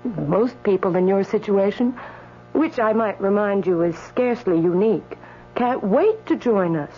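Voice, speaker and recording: An actress playing a sweet, coolly teasing spirit from the afterlife in a radio drama, matter-of-fact and coaxing. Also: female voice, medium pitch, unhurried, close to an everyday voice. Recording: dull radio broadcast, faint mains hum.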